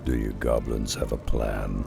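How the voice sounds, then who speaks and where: A hulking half-orc beastmaster with an extremely low, gravelly growl that crawls along at a slow pace. He speaks in grave, terse lines of a game cutscene, with orchestral music swelling clearly behind him. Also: little pitch movement, full character voice. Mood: solemn. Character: gruff half-orc beastmaster, solitary wanderer.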